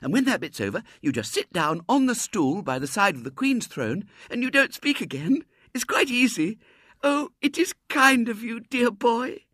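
A plaintive little girl from a storybook, put on by a man, pitched very high and bright. She sounds earnest and pleading, with big swoops in every phrase. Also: sing-song, moderate pace, no echo, studio quality, exaggerated voice. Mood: eager, grateful. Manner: wailing, plaintive, breathless phrasing. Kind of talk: storybook character voice in narration.

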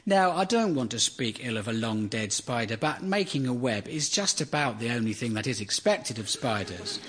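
A man delivering a deadpan, sardonic comedy monologue that builds into exasperated rants. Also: medium pitch, moderate pace, slightly rough.

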